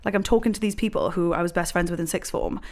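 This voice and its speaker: A woman's voice: on the low side, fast talker, a little mellow. A woman in her twenties from Wales chatting casually as a podcast guest, telling stories and acting out what people said. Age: 20s. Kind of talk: casual personal chat.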